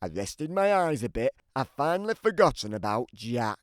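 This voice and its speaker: A man's voice: mid-range voice, sing-song, moderate pace, no echo, clean studio sound, close to an everyday voice. A man voicing a big, grumpy, always-hungry giant who tells his side of a children's storybook in a theatrical, emphatic way. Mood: grumbling storytelling. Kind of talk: scripted children's storybook narration.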